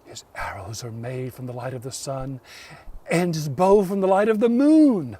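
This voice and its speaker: A man's voice: medium pitch, very animated, normal speed, mellow, husky, distant mic, pitched below the performer's own voice. A man voicing a humble, kind young outcast woman who speaks with earnest awe in a told folk tale. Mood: reverent wonder. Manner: awed, earnest.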